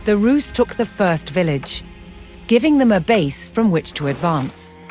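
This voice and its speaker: A woman giving scripted, documentary-style narration for a historical strategy game, measured and formal in her storytelling. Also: mid-range voice, very animated. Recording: music behind the voice. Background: music.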